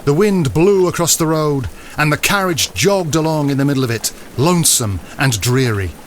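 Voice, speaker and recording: A man's voice: on the high side, expressive, moderate pace, a little hiss or hum. A man narrating a classic story as scripted, dramatised literary storytelling.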